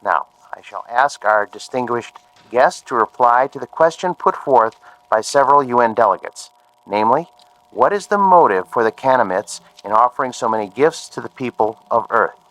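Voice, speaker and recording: Man playing lively-pitched, precise scientist. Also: normal speed, close to an everyday voice, rough recording. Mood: probing, courteous. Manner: formal, precise, instructing. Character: polite, methodical male scientist examiner. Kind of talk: radio drama acting.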